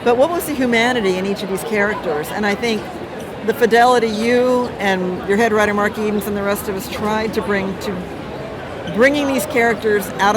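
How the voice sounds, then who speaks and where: A middle-aged or older woman giving casual, emphatic and appreciative answers as an interview guest. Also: medium pitch. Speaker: interview guest, TV writer and producer. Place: busy comic convention. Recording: noisy busy convention with crowd din.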